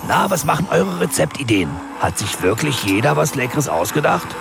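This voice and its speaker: A man's voice: fairly high pitch, very animated, normal speed, vocal fry, over loud music. An actor playing a jolly, middle-aged male kindergarten head in a children's radio comedy, talking in a hearty, exclaiming way.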